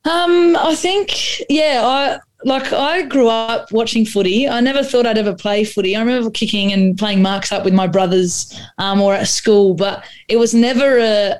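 A young adult woman with an Australian accent, a Croatian native speaker, answering interview questions with many restarts and fillers. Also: medium pitch. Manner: flat delivery, frequent restarts and fillers. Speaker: guest, AFLW footballer.

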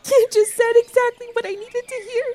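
A woman's voice: extremely high pitch, steady tone, a little fast, warm tone. A woman acting in an audio drama as a tender, shaken young woman, heartfelt and emotional with her dear friend. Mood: grateful, moved.

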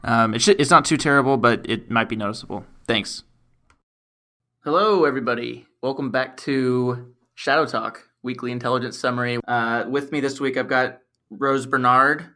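A man hosting a security podcast, a little croaky, chatty and relaxed with plenty of fillers. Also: medium pitch, normal speed. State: slightly croaky voice. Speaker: podcast host. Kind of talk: casual podcast chat.

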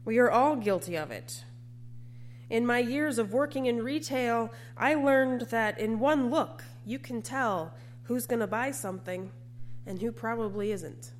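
A woman preacher delivering a scripted sermon in an earnest, measured way, often posing rhetorical questions. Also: fairly high pitch.

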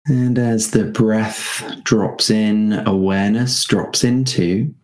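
A man with a low voice leads a guided meditation at a very slow pace. His delivery is gentle and spacious, with short phrases set apart by long pauses. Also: steady tone.

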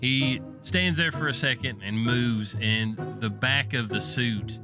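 A man with a mid-pitched voice narrates a tabletop game as game master. The narration is improvised and sits under loud music. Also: slow.

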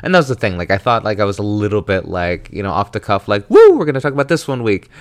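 Man, 30, from the American South, solo podcast host; low, smooth, dry-miked, chatty and dramatic. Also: quick pace, no echo. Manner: chatty, animated, self-dramatizing with frequent tangents.

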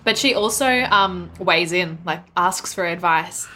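A woman's voice: fairly low pitch, measured pace, bright, forceful tone, slight background noise. A woman co-hosting a podcast, chatting casually about books in a rambling, wry, giggly way. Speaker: book podcast co-host. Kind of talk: casual chatty book discussion.

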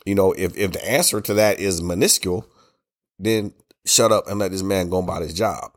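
A low-pitched American man talks solo on his podcast. His voice is congested and rough from a cold. He speaks in a loose, colloquial way, laying out opinions with emphatic repetition. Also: moderate pace. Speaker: podcast host. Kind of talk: casual solo podcast talk, opinionated commentary.

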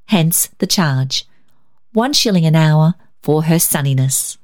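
Woman narrating a story, voice a bit low, expressive and measured, reading it out dramatically. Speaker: story reader.

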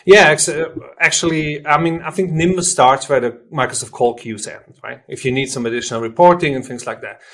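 Swiss German man, halting and conversational. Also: a little high, fairly bright tone. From Switzerland. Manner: informal, halting, self-correcting explanations. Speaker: software company representative, interview guest. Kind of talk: conversational product interview.

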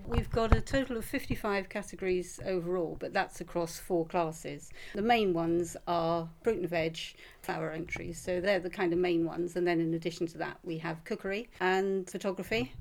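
A woman's voice: on the low side, normal speed, gravelly, hardly any pauses. A woman with a British accent in a casual interview, speaking as a local event organiser. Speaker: flower show organiser, interviewee.

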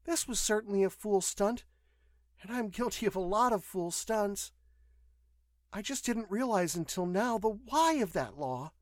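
A man's voice: high voice, lively intonation, a little slow, no room sound, studio quality, pitched above the performer's own voice. A man voicing an anxious, rueful young woman in an audio story, with a hesitant, questioning way of talking.

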